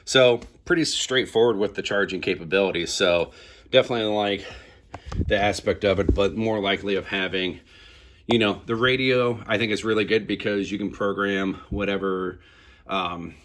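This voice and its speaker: A man's voice: deep voice, steady tone, normal speed, a bit bright. A man casually demonstrating and reviewing a product, explaining it step by step in an informal way.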